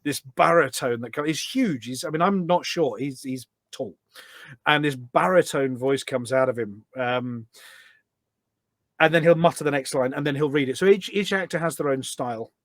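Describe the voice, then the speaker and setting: A man's voice: medium pitch, moderate pace, a bit bright, no room sound, studio quality. A man chatting casually as an interview guest, telling anecdotes in an enthusiastic, talkative way. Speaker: podcast guest, voice pack producer.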